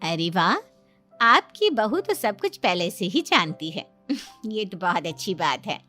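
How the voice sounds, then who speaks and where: A woman's voice: medium pitch, sing-song, a little slow, sharp tone, soft background music, exaggerated voice. A woman voicing a friendly, encouraging lady doctor chatting with a family in an acted comedy story. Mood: pleased, advising.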